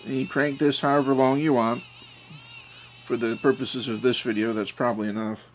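Regular guy doing a casual unboxing review, average pitch, kinda informal and blunt. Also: fairly even intonation, normal speed, faint music behind, poor audio. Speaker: host of product unboxing videos. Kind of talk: casual hands-on product review. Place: small metal trailer, then outdoors in snowy yard.

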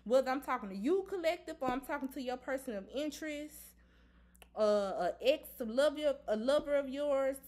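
A woman's voice: high voice, brisk, darkish tone. A woman giving a casual tarot reading to camera, blunt and emphatic, repeating her points and swearing freely. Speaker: tarot reader. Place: indoor room at a table.

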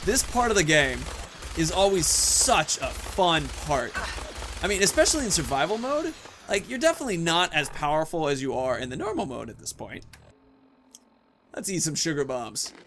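A man's voice: on the high side, sing-song, normal speed, noisy background. A man in his thirties giving casual, chatty let's-play commentary, thinking aloud as he plays. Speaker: gaming video host. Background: video game sounds.

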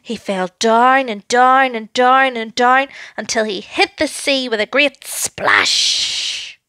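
A woman narrating a children's story with an expressive, deliberate, dramatic delivery. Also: a little high, clean tone, no room sound.

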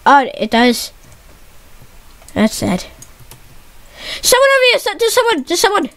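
Very high, lively, excitable woman gamer. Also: a little slow. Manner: excitable, repeats warnings, says dude constantly. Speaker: YouTube gamer. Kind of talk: live gameplay commentary with a friend.

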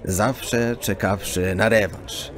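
A man playing a sly, composed hooded card sharp in an audio drama, mock-courteous with quirky archaic phrasing. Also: fairly low pitch, steady tone, slow. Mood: calm, sly. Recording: tavern crowd murmur behind.

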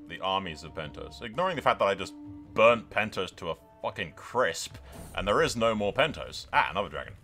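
Man, gaming commentator, lively swooping pitch, theatrical lore narration, casual swearing, music behind the voice. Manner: theatrical, switches between storytelling and sweary casual remarks. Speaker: gaming video host. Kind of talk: strategy game commentary with dramatic role-play lore narration.

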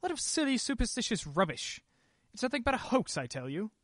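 An actor playing an evasive husband in a radio play, theatrical and patronizing, smooth but quick to get irritable. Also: male voice, very high voice, big pitch swings, a bit bright, no room sound, clean studio sound, exaggerated voice. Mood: indignant.

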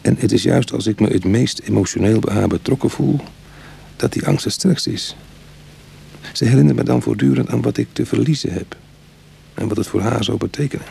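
A man playing a roguish, world-weary reformed burglar in a radio drama, speaking in a musing, colloquial way with a husky, gravelly voice. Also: low voice, mellow, a little hiss or hum, heavily acted. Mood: wistful, confiding. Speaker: actor in a radio play. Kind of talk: Dutch radio drama acting, dialogue and confessional narration.

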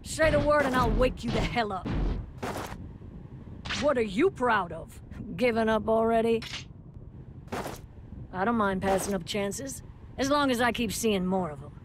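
A woman's voice: fairly high pitch, a little slow, long pauses, noisy background, natural voice. An actress voicing a tough, cocky, sardonic swordswoman who drinks hard, delivering blunt fighting game taunts. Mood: scornful, challenging.

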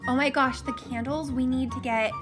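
A woman's voice: high voice, steady tone, normal speed, over music. A young woman hosting a gaming channel, commenting casually with gushing gasps and giggles while losing her voice. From Canada.

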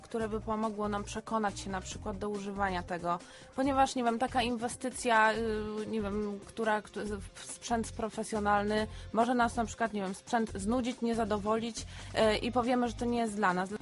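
A woman of average pitch talks as a guest on a radio show. Her delivery is informal and conversational, dotted with hesitations. Soft music can be heard faintly behind her. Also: steady tone, moderate pace. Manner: informal, peppers talk with hesitant fillers. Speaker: radio studio guest, retailer. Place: radio studio.

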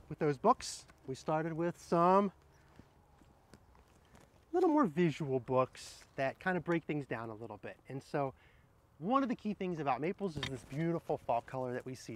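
A middle-aged man with a slightly higher-pitched voice shares children's picture books outdoors. He talks straight to the viewer in a gentle, explanatory manner, suited to reading aloud and casually recommending books. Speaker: horticulturist presenting children's books. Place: outdoors under trees in an arboretum garden.

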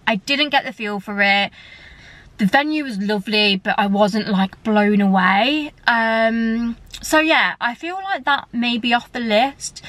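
A woman's voice: medium pitch, fairly bright tone. A young woman chatting to the camera from inside a parked car, rambling and talkative with lots of fillers. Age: young adult. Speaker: vlogger. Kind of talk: casual chat to camera. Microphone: camera close up, held at arm's length.

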